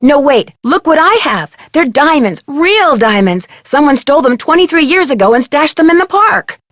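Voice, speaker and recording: A woman's voice: high-pitched, very animated, moderate pace, a bit bright, no echo, studio quality. A woman playing an earnest, plucky young amateur detective in a mystery video game. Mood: urgent pleading.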